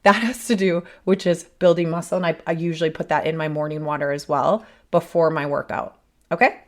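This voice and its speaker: Woman host, 30s, raspy and a little hoarse from a cold, lowish voice, chatty and self-interrupting solo podcast delivery. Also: fairly even intonation, a little fast. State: sick, a bit hoarse. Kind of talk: casual solo podcast talk.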